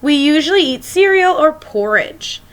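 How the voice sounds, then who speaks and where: A woman's voice: high-pitched, lively intonation, normal speed, fairly bright tone. A woman schoolteacher reading a children's picture book aloud, expressively and as if speaking directly to young listeners.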